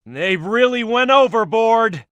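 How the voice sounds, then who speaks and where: A gung-ho tough echidna fighter, punchy game lines, lively pitch swings, dry recording. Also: male voice, slow, dry recording, lo-fi sound, heavily acted. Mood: gung-ho, excited. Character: tough, gung-ho male red echidna brawler. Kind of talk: video game in-action voice lines.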